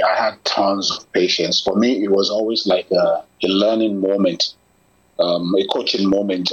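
A man in conversation, speaking in an earnest, measured, persuasive way. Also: low-pitched, slightly rough. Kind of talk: conversational discussion. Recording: lo-fi muffled audio.

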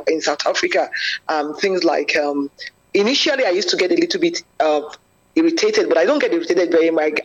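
A woman in conversation, expressive and animated as she tells her own experiences. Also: on the low side. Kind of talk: conversational discussion. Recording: lo-fi muffled audio.

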